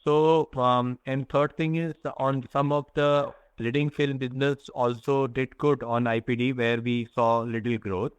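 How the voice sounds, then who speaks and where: A man, a company executive explaining results to analysts in a halting, candid way. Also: medium pitch, little pitch movement, clear, resonant tone, dry recording. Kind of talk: earnings call answers.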